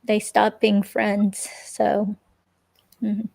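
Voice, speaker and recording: A woman reading the part of an evasive, unsure ex-girlfriend in a police interview, with a creaky, hesitant, rambling delivery. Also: mid-range voice, completely flat delivery, drawn-out words, dark tone, heavily acted, poor audio. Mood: hesitant, vague. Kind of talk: dramatic reading of an interview transcript, conversational.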